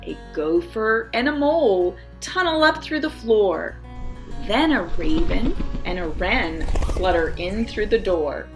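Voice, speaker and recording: Slow, very animated woman storyteller over music. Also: medium pitch. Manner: dramatic, acts out sound words. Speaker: storyteller reading a children's picture book. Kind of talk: expressive, theatrical read-aloud. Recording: music behind the voice. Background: background music.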